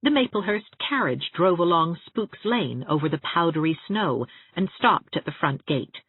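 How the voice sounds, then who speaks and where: A woman's voice: on the low side, expressive, moderate pace, slightly rough, no echo, narrow, tinny audio. A woman narrating an audiobook story expressively from a script.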